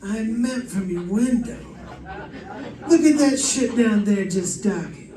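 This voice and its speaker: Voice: medium pitch, animated, slow pace, warm tone, some chatter behind. An actor playing a dry, puzzled homicide lieutenant bantering in an old-time radio detective drama. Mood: casual banter. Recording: echoey, distant mic, faint noise.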